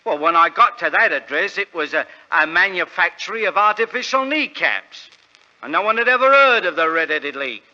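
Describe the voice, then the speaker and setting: An earnest, plodding English shopkeeper in an old radio drama. He speaks haltingly and respectfully in a highish, very bright voice. The recording is dull, with long reverb. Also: expressive, exaggerated voice. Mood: earnest, deferential. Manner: halting, deferential, wordy storytelling. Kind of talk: 1940s radio drama acting.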